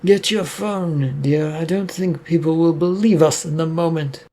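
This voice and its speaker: Scared stubborn preacher standing up to a demon, husky tone, read by a man. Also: a little high, steady tone, measured pace, mellow. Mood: fearful defiant. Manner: nervous but defiant. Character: fearful stubborn male preacher.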